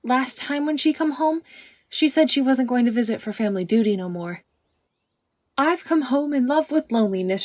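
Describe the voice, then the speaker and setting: A woman's voice: high-pitched, no room sound, clean studio sound. A woman voicing an anxious, devoted young maidservant girl in an audiobook, with an earnest, deferential, folksy rural way of talking. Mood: glum, earnest. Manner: rustic folksy dialect, deferential, breathlessly earnest. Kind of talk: audiobook character acting.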